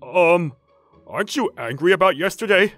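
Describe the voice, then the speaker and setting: A man's voice: high-pitched, lively intonation, normal speed, fairly bright tone, faint music behind. Man playing a flustered, earnest teenage schoolboy. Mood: nervous. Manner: earnest, stammering when flustered. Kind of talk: manga dub voice acting, scripted.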